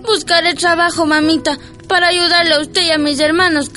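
An actress playing a timid but stubborn young peasant girl in a radio drama, with an Ecuadorian highland accent, a Kichwa speaker's halting phrasing. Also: level tone, pushed and bright. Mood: meek, questioning. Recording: music bed under the voice.

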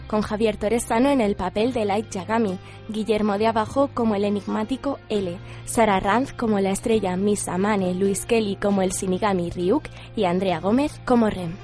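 A woman's voice: medium pitch, steady tone, moderate pace, darkish tone, soft background music. A woman presenting a radio programme with scripted announcements.

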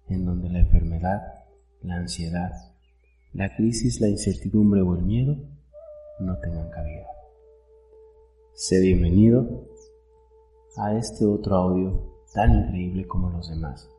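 Man, guided meditation, warm soft voice, very slow, calm, low-pitched, roomy sound, quiet music. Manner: calm, gentle, unhurried, with many pauses. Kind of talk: guided meditation, soothing narration. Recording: roomy echo, distant mic, soft music.

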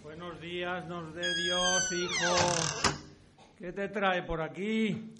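Man playing a slow-speaking, high-voiced village priest. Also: wildly swooping pitch, heavy background noise, heavily acted. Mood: welcoming, dignified. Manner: deliberate, formal, old-fashioned speech. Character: courteous, authoritative village parish priest of the 1700s. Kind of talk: amateur historical radio drama acting.

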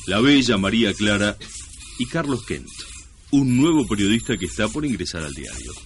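An actor playing a pompous narrator in mock-solemn scripted narration for a comic radio drama. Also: male voice, lowish voice, close to an everyday voice. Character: pompous, easily distracted male radio-play narrator. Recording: noisy old radio broadcast.

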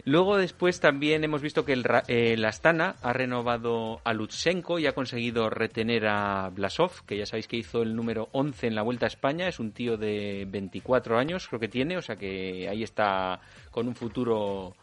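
A man, talking cycling news on the radio in a relaxed, joking way. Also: mid-range voice, moderate pace. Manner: relaxed, jokey. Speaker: cycling commentator. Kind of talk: casual radio chat.

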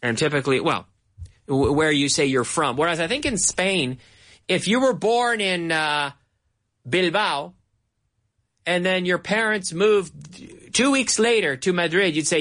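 A Canadian man giving a bilingual English lesson, speaking slowly and repeating words over and over so learners can catch the sounds. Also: high voice, expressive, fairly bright tone. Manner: slow, repeats words for drilling. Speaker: English teacher.

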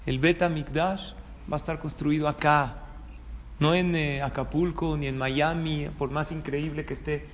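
A man's voice: on the high side, fairly even intonation, normal speed. A Mexican man, a rabbi, giving an informal religious talk in a conversational way, often putting questions to his listeners. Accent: Mexican Spanish. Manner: conversational, checking in with listeners through rhetorical questions. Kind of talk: informal religious sermon with anecdotes. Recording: reverberant hall, clear background room noise.

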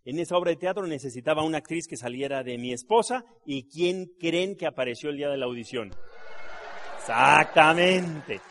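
Animated middle-aged Mexican man telling stories. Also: fairly high pitch, normal speed. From Mexico City. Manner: animated storyteller, jokes with the crowd, asks tag questions; trained actor and voice-over artist. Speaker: business seminar speaker. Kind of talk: live motivational talk to an audience.

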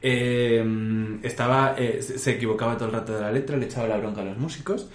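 A man's voice: mid-range voice, fairly even intonation, fairly quick. A man with a Castilian Madrid accent chatting casually as a podcast co-host, telling anecdotes in a playful, campy, emphatic way. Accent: Castilian Spanish from Madrid area. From Spain, Madrid region. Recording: roomy, echoing indoor sound.

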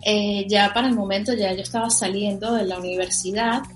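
A woman's voice: medium pitch, fairly quick, soft background music. A young Venezuelan woman with a Venezuelan accent, chatting enthusiastically as a guest on a podcast, with lots of fillers. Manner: enthusiastic, many fillers, animated. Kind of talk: casual podcast interview.

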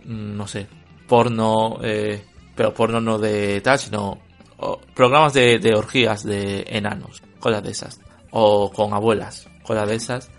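A man from Spain with a Spanish accent, giving a casual book review in a halting, filler-heavy way and bluntly criticizing what he read. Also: on the low side, gravelly, fairly bright tone.

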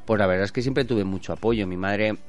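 Low-voiced Spanish man telling stories. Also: brisk, faint music behind. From Badalona, Spain. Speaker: bullfighter being interviewed. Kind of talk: casual conversational storytelling.

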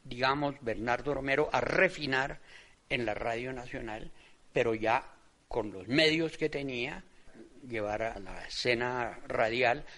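An older man giving reflective interview commentary in halting, measured phrases. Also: medium pitch, pushed and bright. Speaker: interviewee commenting on theatre history.

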